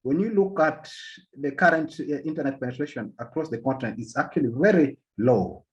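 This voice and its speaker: A man from Uganda giving an academic policy talk as a panel speaker, halting often and repeating words as he restarts his sentences. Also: a little high, lively intonation. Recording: dull lo-fi sound.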